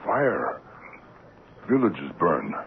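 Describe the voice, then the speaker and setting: An actor playing a shrewd, servile trading-post clerk in the Congo, speaking clipped broken English with cool deference in a 1970s radio drama. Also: male voice, low-pitched, wildly swooping pitch, very slow, heavily acted. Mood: detached. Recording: noisy, tinny 1970s radio broadcast.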